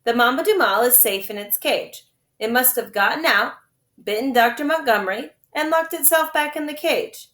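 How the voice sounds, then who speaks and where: A woman voicing a smug, evasive male doctor in a storybook, calm and breezily dismissive. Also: sits high, moderate pace, reverberant, pitched above the performer's own voice. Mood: calmly flippant.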